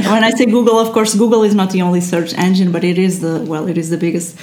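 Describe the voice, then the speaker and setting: A woman's voice: on the low side, steady tone, brisk, a little mellow, a little hiss or hum. A woman, chatting casually as a podcast guest, with many fillers and small laughs. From Portugal. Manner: chatty, frequent fillers and restarts, laughs often. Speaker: guest, SEO translator. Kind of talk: casual interview chat. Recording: echoey room.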